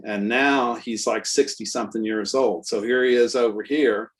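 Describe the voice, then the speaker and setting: A man in his sixties, a guest artist and musician, telling stories in a relaxed, rambling way with lots of fillers. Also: mid-range voice, moderate pace. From Texas. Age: 60s. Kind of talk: casual conversational interview.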